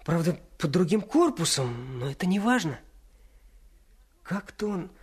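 An actor playing a bold, bossy boy who leads his friends, in radio play style with urgent, hushed delivery. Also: medium pitch, big pitch swings, a little slow, slightly rough. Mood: indignant, scheming.

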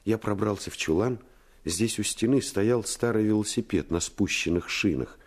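An actor playing a storyteller who recounts a boyhood adventure, in expressive, literary radio-play narration. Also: male voice, fairly low pitch, normal speed, slightly rough. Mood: reflective, suspenseful.

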